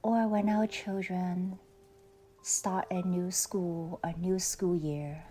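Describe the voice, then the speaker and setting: A woman leading a guided meditation in a soft, soothing, unhurried way with long pauses, often trailing off into breathiness. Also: fairly low pitch, fairly even intonation. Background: faint music.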